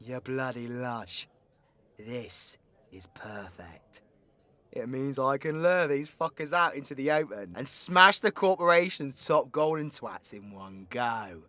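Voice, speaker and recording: Brash, hot-tempered British supervillain antihero, swooping pitch. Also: male voice, expressive, moderate pace, few pauses, bright, forceful tone, no room sound. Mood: cocky, scheming. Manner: brash, sweary, sarcastic slangy delivery. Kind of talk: audio roleplay voice acting.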